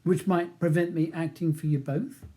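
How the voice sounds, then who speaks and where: A man plays a barrister in an audiobook, courteous and composed. His mellow, fairly high male voice is measured, professional and persuasive. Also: fairly even intonation, close to an everyday voice. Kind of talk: audiobook character dialogue.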